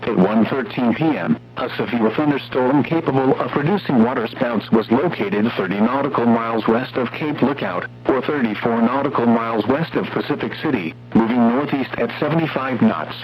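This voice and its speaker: Low-voiced American man on muffled weather radio. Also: moderate pace. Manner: formal, impersonal, synthetic-sounding delivery. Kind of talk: scripted weather warning bulletin. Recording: muffled, noisy radio broadcast with hum.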